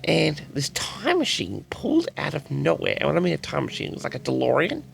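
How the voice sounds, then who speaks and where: A man with a tired, raspy voice, chatting casually and loosely to his audience with many restarts. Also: fairly high pitch, huge pitch swings, a little fast, slight background noise. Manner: rambling, repeats and restarts sentences. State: tired, raspy from a late night. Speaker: audio log host. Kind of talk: casual rambling chat to audience.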